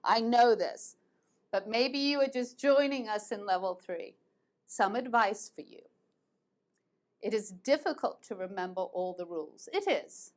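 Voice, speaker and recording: A woman's voice: medium pitch, animated, unhurried. A woman teaching an online English grammar lesson, patiently repeating verb forms. She grew up between the United States and England.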